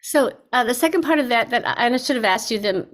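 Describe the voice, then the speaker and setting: A woman's voice: fairly high pitch, animated, fast talker. A woman moderating an informal discussion in a conversational, accommodating way.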